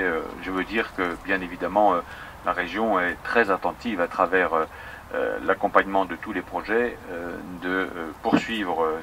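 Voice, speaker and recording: A man's voice: sits low, fairly bright tone, a little hiss or hum. A man giving unscripted official remarks in hesitant, fragmented phrases.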